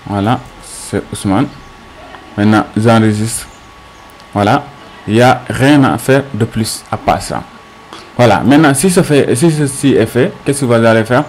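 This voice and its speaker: A man teaching an unscripted tech tutorial step by step, haltingly, often asking questions and answering them himself. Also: medium pitch, a little hiss or hum.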